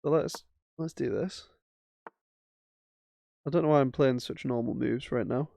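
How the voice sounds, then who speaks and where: A man's voice: mid-range voice, a little slow, gravelly, mellow, no echo. A man casually commentating his own chess games as he plays, thinking aloud with self-mocking asides. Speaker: chess streamer.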